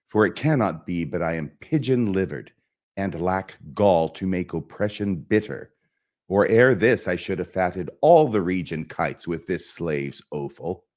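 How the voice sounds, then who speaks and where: Anguished stage prince, played by a man: brooding Shakespearean soliloquy, slow and declamatory, hugely varied pitch. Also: exaggerated voice. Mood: bitter, self-loathing. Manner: declamatory, brooding, emphatic with dramatic pauses. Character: anguished young prince, self-loathing, melancholy, bent on revenge.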